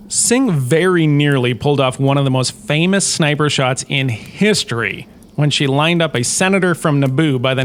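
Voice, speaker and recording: A man with a somewhat high-set voice narrates like a history lecturer. He hosts in a casual, wry manner and puts firm emphasis on his key points. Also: fairly bright tone. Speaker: host, in-character history lecturer. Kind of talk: scripted lecture-style narration of fictional history.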